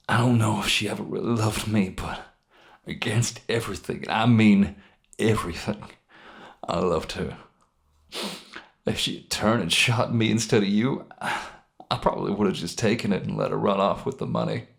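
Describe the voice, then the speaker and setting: A man playing a wounded, guilt-ridden criminal in a narrated story, halting and pained, with a gravelly, emotion-strained voice. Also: on the low side. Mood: anguished regret. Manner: halting, rueful, with sighs and bitter weak laughs. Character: wounded, guilt-ridden criminal mourning his dead brother. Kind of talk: dramatic monologue in a narrated story.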